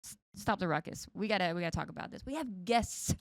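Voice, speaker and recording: A woman's voice: lowish voice, animated, fast, no echo, studio quality. A woman co-hosting a podcast, chatting in a bubbly, playful way. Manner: bubbly, laughing, playful teasing. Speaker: podcast co-host. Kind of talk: casual chat.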